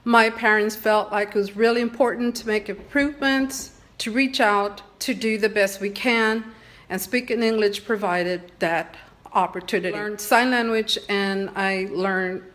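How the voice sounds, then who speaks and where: A woman from Texas giving a prepared, earnest personal presentation in a measured, slightly read-aloud way. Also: mid-range voice, steady tone, a bit bright. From Texas, San Antonio. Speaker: presenter sharing family background.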